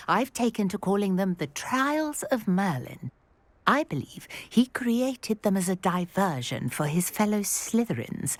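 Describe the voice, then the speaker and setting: A woman playing a brisk, wry historian and archaeologist in a video game, articulate and full of curiosity. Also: medium pitch, no room sound. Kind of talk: video game character acting.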